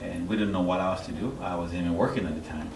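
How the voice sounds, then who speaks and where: A middle-aged man from Haiti giving a personal testimony as an interview guest, halting and searching for his words. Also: low voice. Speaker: interview guest, church member. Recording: echoey, distant mic, clear background noise.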